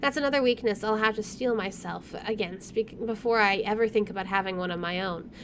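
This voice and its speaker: A woman's voice: mid-range voice, a little fast, a little hiss or hum. A woman hosting a gaming video, reading visual novel narration aloud with casual asides.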